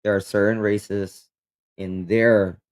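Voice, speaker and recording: A man from the Philippines, a native Kapampangan speaker, co-hosting a casual livestream chat and reading out viewer comments with animated emphasis. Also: on the low side, takes it slowly. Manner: animated, emphatic, quiz-show asides. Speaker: co-host of a livestream.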